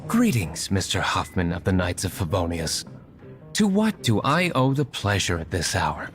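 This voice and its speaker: Stoic rich young lord in a video game, low voice, formal but with swooping intonation. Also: male voice, wildly swooping pitch, music in the background. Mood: coolly polite. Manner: formal, measured, reserved. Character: aloof, stoic young nobleman, well-spoken. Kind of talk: video game character acting.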